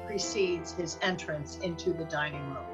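A woman reading scripted narration for a radio drama in a measured storytelling manner. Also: sits low, music in the background, poor audio.

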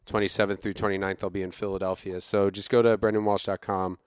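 A man's voice: deep voice, little pitch movement, fast talker, dry recording. A man co-hosting a comedy podcast, joking in casual banter with a playful, mock-indignant manner, speaking into a studio microphone. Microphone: studio microphone with headphones.

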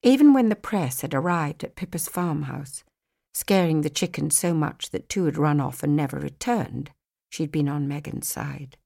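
A woman with a fairly low voice narrates an audiobook. She reads scripted fiction as a storyteller would. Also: normal speed, vocal fry, mellow.

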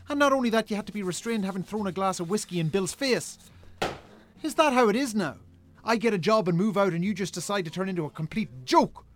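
An actor playing an angry, scornful Irish brother in a radio drama, scolding with sarcasm and swearing. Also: male voice, sits high. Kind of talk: naturalistic radio drama acting.

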